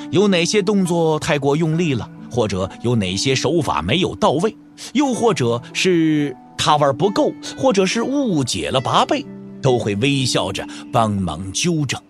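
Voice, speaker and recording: A man narrating an audio drama from a script in an expressive storytelling manner. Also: fairly high pitch, unhurried, faint music behind.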